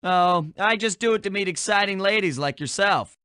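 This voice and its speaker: A man's voice: high voice, moderate pace, pushed and bright, clear, resonant tone, dry recording, clean studio sound. A man voicing a suave young animal thief in a cartoon video game, wry, casual and unflappable. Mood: wry, playful. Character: suave, laid-back, wisecracking young male animal thief.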